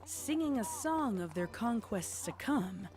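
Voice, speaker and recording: A woman reading scripted story narration as the narrator of a dark tale. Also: fairly high pitch, animated, a little slow. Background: music.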